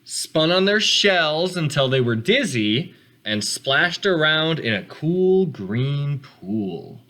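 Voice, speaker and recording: A man reads a picture book aloud to children. His delivery is expressive, with big swings in pitch, and his tone is bright. He speaks to the listeners directly in casual asides. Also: slow. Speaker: read-aloud host for children.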